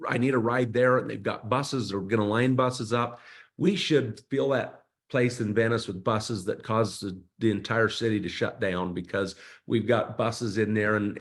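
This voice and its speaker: A company executive rallying distributors in an online meeting, speaking fervently, repeating himself and pleading with listeners to show up. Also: male voice, fairly low pitch. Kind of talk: motivational company call speech.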